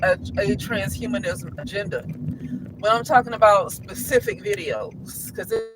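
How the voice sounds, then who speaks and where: Man vlogger with a very high voice, rambling and repetitive, loud street traffic behind. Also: unhurried. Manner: repetitive, restarts often, rhetorical questions. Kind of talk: casual rambling monologue.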